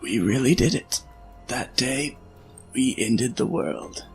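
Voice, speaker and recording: An actor playing an old, weary scientist in a science fiction audio drama, speaking in a measured, explanatory way with a creaky voice. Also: male voice, mid-range voice, lively intonation. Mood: wistful, grave. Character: old, worn male scientist, weary, reflective friend. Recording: music under the voice.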